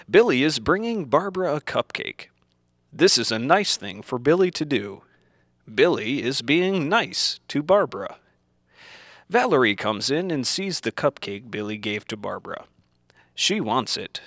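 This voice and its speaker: A man reading scripted instructional narration in the manner of an old educational film, deliberate and didactic, in simple phrases. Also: medium pitch, creaky, fairly bright tone, dry recording, clean studio sound.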